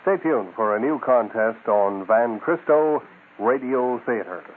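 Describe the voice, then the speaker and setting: A man's voice: mid-range voice, lively intonation, measured pace, narrow, tinny audio. A man hosting a radio show, reading scripted promotional announcements on a thin radio recording.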